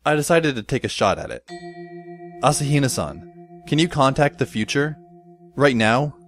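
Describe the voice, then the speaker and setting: Man acting teen boy narrator, medium pitch, dry, wry, sardonic, long-suffering, audio drama, faint music. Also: very animated, moderate pace. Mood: sceptical, probing.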